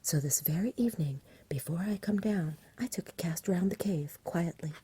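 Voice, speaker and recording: Woman whispering as a scared rustic shepherd dad, breathy, rambling country talk, storybook tale. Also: fairly low pitch, normal speed, warm tone. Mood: nervous. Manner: agitated, plain country dialect, rambling. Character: frightened rustic shepherd father, fretful countryman.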